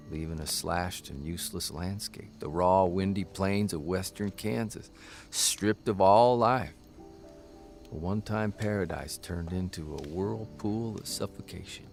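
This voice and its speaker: Low male voice, creaky and gravelly, weary brooding newspaperman, radio drama, light music behind. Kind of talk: radio drama narration and dialogue. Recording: music underneath.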